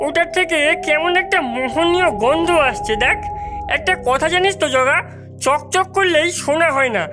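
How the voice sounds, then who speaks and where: A woman's voice: extremely high pitch, normal speed, over music. A woman voicing a plucky, earnest young boy in a children's cartoon, determined and loyal to his friends. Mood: earnest, concerned. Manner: earnest, hesitant hums before speaking.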